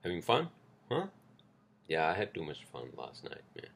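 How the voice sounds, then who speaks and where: A man's voice: low voice, huge pitch swings, moderate pace, creaky, a bit bright. A man hosting a casual solo podcast, rambling loosely with lots of fillers, restarts and swearing, speaking English with an accent. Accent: non-native English accent. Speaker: podcast host.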